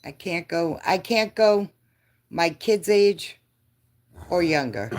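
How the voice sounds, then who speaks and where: A woman in her fifties chatting with her live viewers. Her voice sits low and she takes her time, often laughing and answering the chat. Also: expressive, slow, a little mellow. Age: 50s. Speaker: livestream host.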